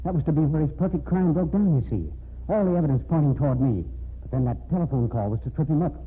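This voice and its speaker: Obsessed killer on tinny old radio. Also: male voice, on the high side, quick pace, husky. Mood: gloating. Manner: menacing, insistent, gloating. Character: obsessed, cold-blooded male murderer, scornful and reckless. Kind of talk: old radio crime drama acting. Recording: tinny, band-limited, hissy old radio recording.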